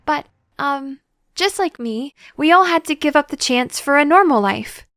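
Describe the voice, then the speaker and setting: A woman playing a shy, gentle, bookish young scientist in an audio drama, hesitant and politely awkward in her delivery. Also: high-pitched, lively intonation, moderate pace, no echo, clean studio sound. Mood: awkward, earnest. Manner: hesitant, flustered, polite, wordy. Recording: music under the voice.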